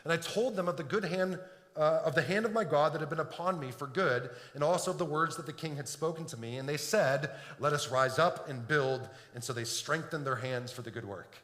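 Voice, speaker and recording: A man's voice: fairly high pitch, brisk, fairly bright tone. A middle-aged man from Detroit preaching a sermon in a church, earnest, often repeating words as he builds a point. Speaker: pastor. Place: church sanctuary. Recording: echoey hall with long reverb.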